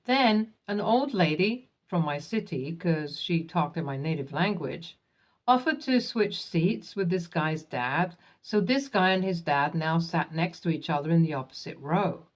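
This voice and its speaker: A woman with a somewhat low voice narrates online stories in a scripted manner. Her delivery stays even and measured, and she spells out acronyms letter by letter.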